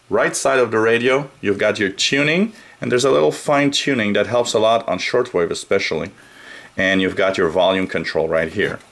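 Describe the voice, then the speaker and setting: A man giving a casual hands-on gadget review, explaining things plainly and haltingly as the reviewer. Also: lowish voice. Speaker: product reviewer. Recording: noisy home recording, steady background noise.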